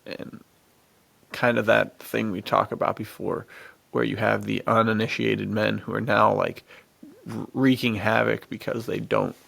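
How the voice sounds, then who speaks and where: A man's voice: lowish voice, level tone, a little slow, gravelly, a bit bright, no echo. A man in a casual podcast discussion, chatty and amused, rambling along in slangy, informal phrases. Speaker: podcast co-host.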